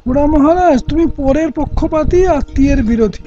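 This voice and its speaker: A man's voice: very high-pitched, normal speed, dark tone. A man playing a stern, aggrieved king in an audio play, speaking in a formal, declamatory way. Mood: accusing. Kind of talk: Bengali radio play acting. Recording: dull lo-fi audio with music.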